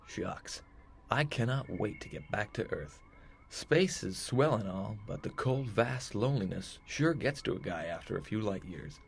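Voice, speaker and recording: A man's voice: fairly low pitch, animated, unhurried, creaky, a little hiss or hum. A man playing an earnest, folksy heroic space captain in a retro sci-fi audio drama, full of old-fashioned exclamations. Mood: weary, wistful.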